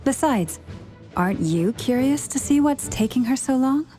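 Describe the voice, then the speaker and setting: Gentle, mellow-voiced young songstress. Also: female voice, sits high, lively intonation, normal speed, faint music behind. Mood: curious. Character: gentle young female songstress, mildly reluctant. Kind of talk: video game character acting.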